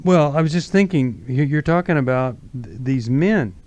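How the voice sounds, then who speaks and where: A middle-aged man hosting a call-in radio talk show, speaking earnestly and emphatically with deliberate pauses. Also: medium pitch, lively intonation, a little mellow. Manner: earnest, emphatic, pausing for effect.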